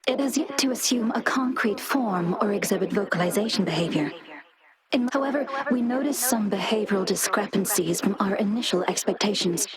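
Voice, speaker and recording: An actress playing an earnest laboratory researcher in a video game, speaking in a formal, careful, clinical way. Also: female voice, mid-range voice, brisk, a crowd in the background, close to an everyday voice, low-quality recording. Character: earnest female laboratory researcher reporting to a colleague. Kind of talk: dramatic English video game voice acting.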